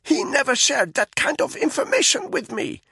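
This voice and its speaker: A man voicing a frightened female informant, her voice croaking and strained as a rope chokes her. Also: very high voice, sing-song, moderate pace, pushed and bright, no echo, studio quality, pitched above the performer's own voice, full character voice. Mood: desperate. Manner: breathless, pleading, rising to cries.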